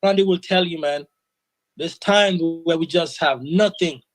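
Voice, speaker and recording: A fairly high-voiced man talks in an earnest, reflective way, filling his sentences with "you know". The sound is dry and close, with no room around him. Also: measured pace, dry recording. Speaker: interview guest, sound system selector. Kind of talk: casual interview.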